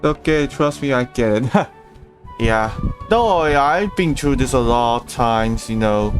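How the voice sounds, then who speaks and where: A man's voice: medium pitch, lively intonation, measured pace. A man livestreaming a video game with casual, chatty commentary. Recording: game music clearly behind. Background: video game music.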